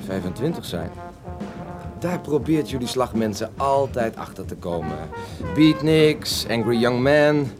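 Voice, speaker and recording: A man acts a young beatnik poet in a radio play, cocky and hip. His mid-range voice swings expressively as he needles and drawls. Music plays loudly behind him. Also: medium pitch, animated, a little slow, close to an everyday voice. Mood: provocative, cool. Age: 20s. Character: cocky twenty-five-year-old beatnik poet. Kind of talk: radio drama acting.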